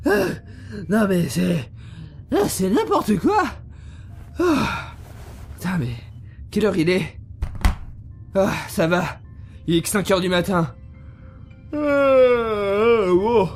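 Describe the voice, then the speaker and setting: A man's voice: sits high, big pitch swings, slow, long pauses, faint music behind, full character voice. A young man playing a bewildered, easily flustered computer geek in an amateur audio drama. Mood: groggy, grumbling.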